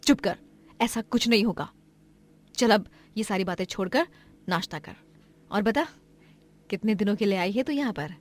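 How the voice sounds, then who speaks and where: A woman voicing a kind, generous lady of the house in a cartoon moral story, chatty and gently chiding. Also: mid-range voice, normal speed, long pauses, lightly acted.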